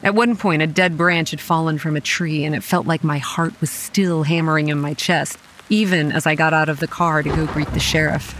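An actress playing a young campground manager who narrates a horror audio drama in a wry, sardonic, foul-mouthed way. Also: female voice, low voice, steady tone, moderate pace, fairly bright tone, slight background noise, rough recording. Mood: tense, hushed. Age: young adult. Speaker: narrator and lead character. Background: rain and music.

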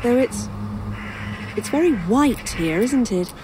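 Puzzled woman, audio drama, slightly high voice, hesitant, polite, unhurried, ambient noise and bird caws. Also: lively intonation. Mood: bewildered, hesitant. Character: bewildered, polite, dry-witted woman in a strange place.

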